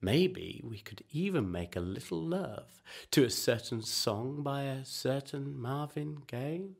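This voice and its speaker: Radio drama: male actor as eager, jittery recovering addict, halting and excitable, very animated intonation. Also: medium pitch, vocal fry. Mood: deflated. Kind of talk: radio play acting.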